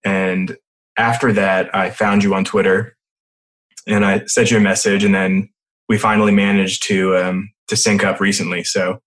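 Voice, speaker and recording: Deep-voiced male podcast host. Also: level tone, normal speed, fairly bright tone. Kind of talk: scripted intro, then casual interview.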